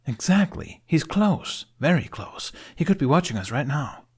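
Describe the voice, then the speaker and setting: A man's voice: fairly high pitch, big pitch swings, moderate pace, a little mellow, slightly rough, pitched above the performer's own voice. A man voicing an anxious, brooding young Viking for an audiobook, earnest and pointed in how he explains things. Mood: worried, insistent.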